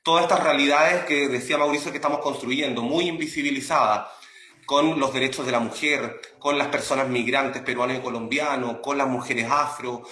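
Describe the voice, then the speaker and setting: A man's voice: mid-range voice, fairly even intonation, brisk, bright, forceful tone, echoey room, slight background noise. A man with a Chilean accent, explaining and promoting his work conversationally as an interview guest. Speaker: interview guest, academic and audio creator.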